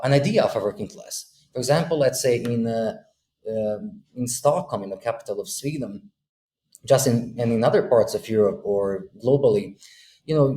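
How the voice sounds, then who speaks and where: A man in his thirties from Bosnia speaks on a video call, pausing often and restarting his sentences as he works through his ideas. His voice is mid-pitched. The room around him sounds echoey, with a faint hum underneath. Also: dark tone. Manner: hesitant, many fillers and restarts. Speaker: podcast guest. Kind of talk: thoughtful conversational explanation. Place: room with a window.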